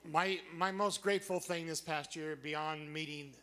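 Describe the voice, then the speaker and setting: A man's voice: a little high, fairly even intonation, sharp tone, slight background noise. Earnest older man on the council. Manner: earnest, halting, emotional. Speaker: council member. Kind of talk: personal testimony.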